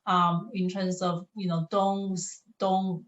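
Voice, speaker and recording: A middle-aged woman for whom English is a second language, moderating a college panel in a warm, reassuring manner with frequent restarts. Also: on the low side, little pitch movement, slow pace, highs cut off. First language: not English. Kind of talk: encouraging panel talk. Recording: echoey room.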